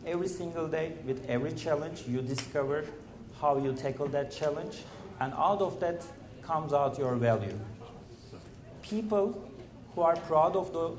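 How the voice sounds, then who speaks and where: A man speaking as a startup founder on a conference panel, earnest and measured, often filling pauses with "you know" and "uh". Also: a little high. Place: conference stage in a large hall. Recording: echoey conference hall.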